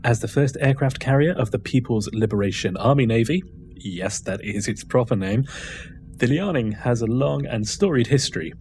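A man's voice: mid-range voice, normal speed, a little mellow, slight background noise. A man co-hosting a podcast, reading a scripted news segment with wry, sarcastic asides. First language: not Chinese. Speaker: podcast co-host.

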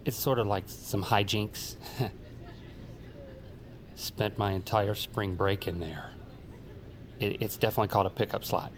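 A man's voice: low-pitched, a little hiss or hum. An actor playing an awkward, pedantic male co-worker in an audio drama, speaking with earnest hesitation.

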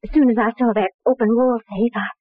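An actress playing a poised, guarded wealthy wife in an old radio crime drama. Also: female voice, fairly high pitch, steady tone, quick pace, dry recording, natural voice. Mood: composed, explaining. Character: restless, guarded wealthy wife of murdered millionaire. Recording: narrow, lo-fi vintage radio recording.

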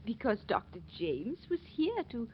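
An actress playing a fretful, forgetful old aunt in a 1940s radio drama, speaking in a hesitant, apologetic way. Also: female voice, fairly high pitch, big pitch swings, unhurried. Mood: flustered, earnest. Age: older. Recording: dull, noisy old 1940s radio recording.

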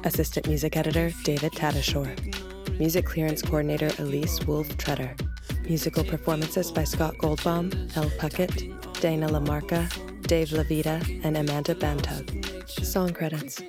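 Low, even-voiced woman reading credits over music. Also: normal speed. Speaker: podcast credits announcer. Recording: music under the voice.

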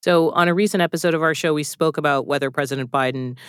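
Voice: medium pitch, quick pace, fairly bright tone, clear, resonant tone, no room sound, clean studio sound. A radio host guiding an interview, asking questions in a conversational way.

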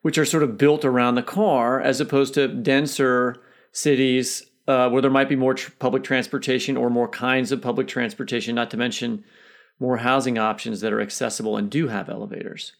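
A man's voice: medium pitch, level tone, brisk, vocal fry. Brief: American male podcast host, mid-range voice, conversational and a little roundabout. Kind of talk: conversational interview questioning.

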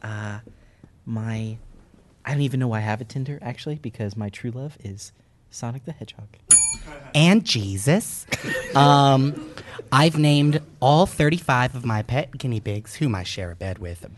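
A man with a mid-pitched voice improvises a silly dating profile in a mock-sweet, deadpan way. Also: unhurried. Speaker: panelist.

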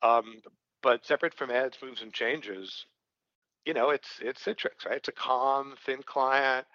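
A man's voice: mid-range voice, unhurried, sharp tone, no echo. Rambling Brooklyn man, dry muffled sound. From Brooklyn, New York. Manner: rambling, lots of fillers and restarts. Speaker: IT company co-founder, guest. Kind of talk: casual podcast interview.

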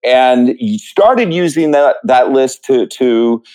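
A man answering as an interview guest, reflective and hesitant, often halting as he thinks. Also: mid-range voice, expressive, a little mellow, low-quality recording. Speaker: interview guest, musical book writer.

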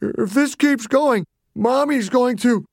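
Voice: mid-range voice, dry recording, studio quality, voice pushed up. Narrator acting a strange, pleading monster mother. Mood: pained, pleading. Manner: halting, pleading, tearful. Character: monstrously transformed mother, pained, pleading, weak-willed.

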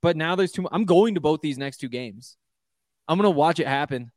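A man's voice: on the high side, expressive, brisk, darkish tone, no echo, clean studio sound. A man from Montana co-hosting a casual sports betting chat over a video call, wry and self-deprecating as he rambles about his teams. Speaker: podcast co-host.

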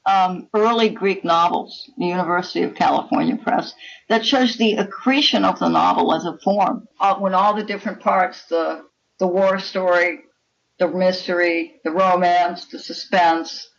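A woman's voice: lowish voice, fairly even intonation, moderate pace, vocal fry. An older woman speaking as a guest author in a conversational interview, with an opinionated, emphatic and instructive manner. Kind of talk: conversational interview talk on writing. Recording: dull, lo-fi connection.

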